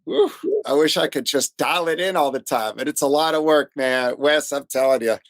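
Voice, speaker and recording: A man's voice: on the high side, moderate pace, crowd noise. An American man, a music producer and engineer being interviewed, chatting casually in an enthusiastic, self-deprecating, colloquial way. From United States. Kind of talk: casual chat.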